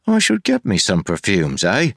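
A man doing a crude, grumbling young constable who complains in rough, folksy speech. His pitch rises above the reader's own and swings about. The sound is close and dry. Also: wildly swooping pitch, normal speed, a bit bright, no room sound, exaggerated voice. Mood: cheeky.

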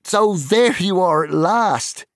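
A man's voice: sits high, animated, takes it slowly, no echo, studio quality, voice pushed up, heavily acted. A man voicing a cheerful young male hobbit who banters and teases. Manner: bright, bantering.

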